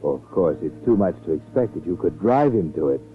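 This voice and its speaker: A tinny vintage radio mystery recording with faint music carries a sinister male narrator. He is low-pitched, insinuating and mocking, as if he knows every secret. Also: expressive, brisk. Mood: knowing, taunting. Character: sinister, all-knowing male narrator taunting a schemer in second person.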